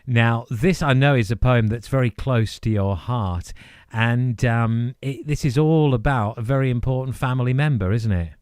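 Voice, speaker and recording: A man's voice: mid-range voice, normal speed, a little mellow, clear, resonant tone, no echo, studio quality. A man hosting a radio interview in an enthusiastic, encouraging manner. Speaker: radio host. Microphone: close studio radio microphone.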